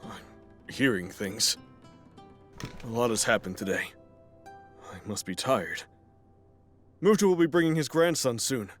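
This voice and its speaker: A man playing a troubled, excitable middle-aged professor in an audio drama, with theatrical delivery. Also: medium pitch, slow, long pauses, faint music behind. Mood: troubled, weary.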